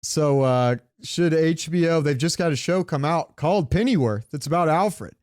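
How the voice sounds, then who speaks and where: A man's voice: fairly high pitch, lively intonation, normal speed, a little mellow, no echo, studio quality. A man co-hosting a podcast, chatting informally and with strong opinions about pop culture, swearing now and then. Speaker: podcast co-host. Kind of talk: casual pop-culture chat.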